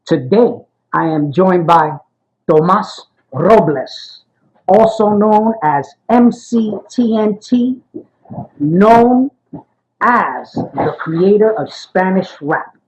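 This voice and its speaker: Male archivist interviewer, friendly and affirming, slightly high voice. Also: animated, slow pace, rough recording. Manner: friendly, affirming, keeps saying "got it" and "awesome". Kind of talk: oral history interview.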